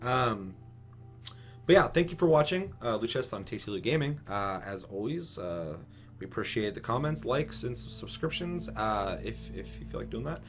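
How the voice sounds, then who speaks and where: A man's voice: medium pitch, big pitch swings, normal speed, faint music behind. A man in a casual, profane gaming chat as podcast host, often quoting imagined characters mockingly.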